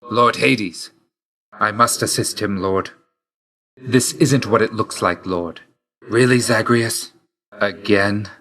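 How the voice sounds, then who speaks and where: A man's voice: lowish voice, slow, long pauses, pushed and bright, exaggerated voice. A man voicing a grim, reserved god of death in a video game, speaking tersely and solemnly with dry loyalty. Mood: formal, stern. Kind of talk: scripted video game voice lines.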